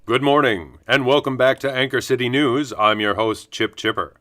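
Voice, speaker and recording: A man's voice: mid-range voice, steady tone, normal speed, heavy background noise, close to an everyday voice. A man playing a chipper morning news anchor with a polished, upbeat broadcast delivery in a parody newscast.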